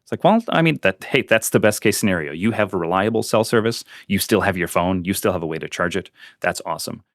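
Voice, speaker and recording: A man's voice: low voice, expressive, fast, no room sound, clean studio sound. A man who grew up speaking Ukrainian, explaining a volunteer project in casual interview talk, with many fillers and restarts. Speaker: guest, volunteer on a missing-persons website.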